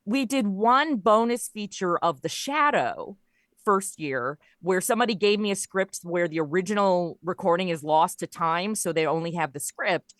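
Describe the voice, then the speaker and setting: A woman's voice: fairly low pitch, normal speed, no echo, studio quality. A woman chatting casually as an interview guest, explaining her creative work in a talkative storytelling way. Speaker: interview guest, audio drama writer and producer.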